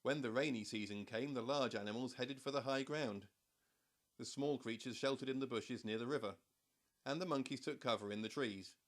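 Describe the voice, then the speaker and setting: Casting: male children's audiobook narrator, mid-pitched voice, measured and expressive storytelling delivery.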